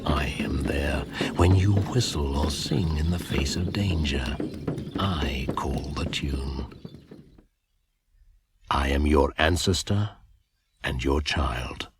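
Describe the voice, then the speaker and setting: An actor playing an ancient pagan winter spirit, a brooding, commanding shaman, in a slow, incantatory 1980s radio drama monologue on an old broadcast recording. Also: very deep voice, background noise, full character voice. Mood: eerie, menacing.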